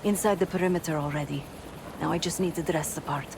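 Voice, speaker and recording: A woman's voice: low-pitched, fairly even intonation, fast, gravelly, background noise. A woman playing a focused, terse field agent in a video game cinematic.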